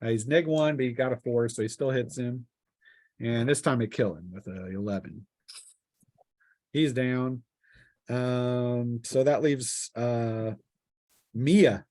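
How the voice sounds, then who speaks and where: A man acting as game master, improvising narration of a tabletop game in a halting way with drawn-out pauses. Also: mid-range voice, warm tone, dry recording.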